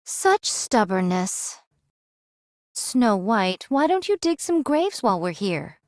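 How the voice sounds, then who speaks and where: A woman's voice: mid-range voice, very animated, takes it slowly, dry recording, clean studio sound. An actress voicing a stern, weary and stoic swordswoman in a video game, with formal, solemn phrasing. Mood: cold, needling. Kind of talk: dramatic game voice acting.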